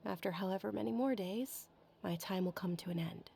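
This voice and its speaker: An actress voicing a frail, sickly young noblewoman who speaks softly and with quiet resignation. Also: mid-range voice, brisk, vocal fry, noisy background, lightly acted. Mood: wistful. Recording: game audio with music behind.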